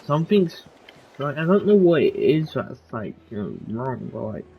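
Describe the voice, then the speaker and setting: A game streamer giving casual commentary, informal and rambling, thinking aloud in a husky voice. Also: mid-range voice, very animated, moderate pace, phone-quality sound, slight background noise.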